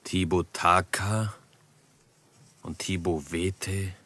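A man's voice: low-pitched, steady tone, extremely slow, sharp tone, heavily acted. A male actor playing a proud, dignified chief in a German radio drama, with a grave and formal way of speaking.

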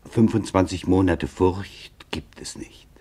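A man narrating a documentary radio drama in a sober, deliberate, measured manner. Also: deep voice, mellow, slightly rough.

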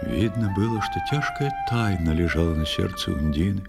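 A man narrating a verse tale in a dramatic, measured, theatrical way. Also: low voice, gravelly, loud music behind the voice.

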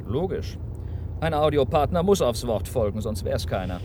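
A man's voice: medium pitch, sing-song, moderate pace, natural voice. A man playing a brusque, self-important government press officer in a radio play, with a smug, dismissive manner. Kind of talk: naturalistic radio play dialogue. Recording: loud car and traffic noise.

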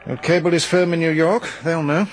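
An actor playing a persistent, measured police inspector in a radio drama. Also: male voice, fairly high pitch, fairly even intonation, soft background music, lightly acted. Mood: firm questioning. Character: dogged, skeptical police inspector Narracott.

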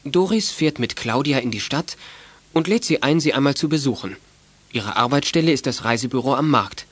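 A man serves as narrator of a children's audio drama. He reads scripted story passages in a voice of middle pitch. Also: lively intonation, normal speed, no room sound.

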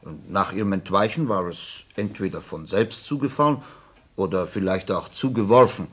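A man acting in a radio play as a detective's attentive companion, thinking aloud and questioning hesitantly in a low, husky voice. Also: normal speed, a bit bright. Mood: puzzled, curious. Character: detective's attentive, thoughtful male companion, questioning and following along.

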